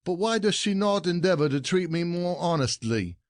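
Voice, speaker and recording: An aggrieved husband in a classic farce, acted by a man, with a fairly high voice and a dry, close sound. He declaims his grievances formally, indignant and scheming. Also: lively intonation, normal speed, dry recording. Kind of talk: classic comedy audio play acting.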